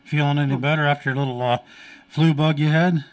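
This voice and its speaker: A man's voice: a little high, fairly even intonation, fairly quick. A man, a radio host chatting casually and joking with a guest.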